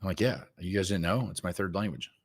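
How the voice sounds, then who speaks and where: Deep-voiced man, blunt teasing trader. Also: fast talker, slightly rough. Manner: teasing, blunt trash talk. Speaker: co-host trader. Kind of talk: casual live-stream banter.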